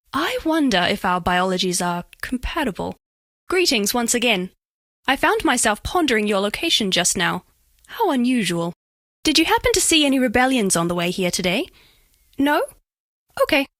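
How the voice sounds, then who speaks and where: High, lilting, curious alien crystal woman. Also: a bit bright, no room sound, studio quality. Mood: tender, affectionate. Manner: stilted, precise, overly clinical phrasing. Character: female alien crystal being, curious, formal, awkwardly flirtatious. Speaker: video game voice actress. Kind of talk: scripted dating-game character voice lines.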